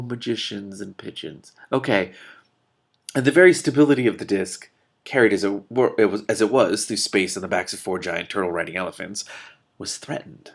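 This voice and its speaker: Guy doing expressive book narration w his own commentary, deepish voice, lots of gravelly fry. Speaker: host reading a book aloud with commentary.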